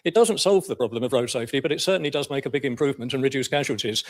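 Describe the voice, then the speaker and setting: A man's voice: medium pitch, rapid-fire. An English man speaking formally as a councillor in a debate, in a matter-of-fact way. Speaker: county councillor.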